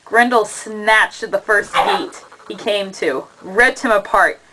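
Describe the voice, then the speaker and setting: A woman giving a measured, dramatic narration of an epic poem as the storyteller of a puppet show. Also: mid-range voice, background noise.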